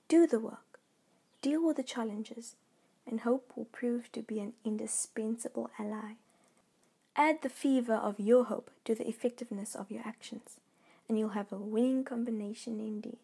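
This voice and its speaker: A woman reading a scripted devotional message in an earnest, measured, encouraging way. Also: on the high side, warm tone, husky. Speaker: devotional reader.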